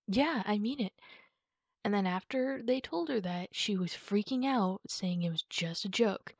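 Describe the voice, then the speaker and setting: A woman speaking casually and haltingly in a comforting ASMR roleplay, as a reassuring friend talking to the listener. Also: medium pitch, lively intonation, no room sound. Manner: reassuring, informal, halting with pauses. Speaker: ASMR roleplay performer playing a supportive friend.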